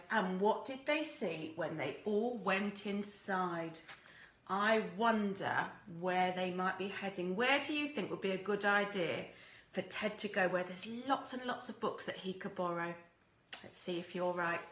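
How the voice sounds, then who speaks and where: A woman teacher reading a rhyming storybook aloud to young children in an expressive, deliberate way. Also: mid-range voice, echoey room, poor audio. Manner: animated, deliberate, acts out characters and asks listeners questions.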